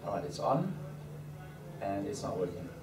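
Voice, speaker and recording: A man's voice: lowish voice, sing-song, moderate pace. A man raised in Africa, Danish his first language, with a slight foreign accent, giving a chatty, self-deprecating seminar talk to an audience. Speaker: seminar speaker. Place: event hall with audience. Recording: roomy and distant, noisy hall.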